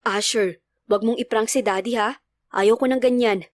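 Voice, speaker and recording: A woman's voice: a little high, fairly even intonation, normal speed, dry recording, natural voice. A woman voicing a stern, easily annoyed young father, curt and threatening, in a storybook narration. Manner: curt, demanding.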